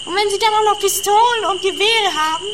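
Very squeaky, nagging sidekick. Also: female voice, a little fast. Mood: naive, puzzled. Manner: questioning, complaining. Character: naive, nagging, whiny female companion on the run. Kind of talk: comedy radio play acting. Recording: clear outdoor night noise, insects and owls.

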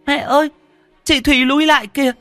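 A man puts on a frightened little boy in a ghost story. The voice is extremely high, shrill and whining, with tearful fear and music behind it. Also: fairly even intonation, fairly quick, fairly bright tone, dry recording. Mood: terrified. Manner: crying, shrill.

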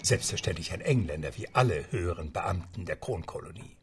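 A man's voice: low-pitched, lively intonation, brisk, noisy background. A man narrating a radio play as a scripted storyteller. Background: music.

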